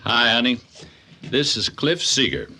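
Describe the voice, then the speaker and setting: A man's voice: medium pitch, sing-song, measured pace, sharp tone, slight background noise, full character voice. A man playing a genial middle-aged father and business owner in a radio drama. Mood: hospitable.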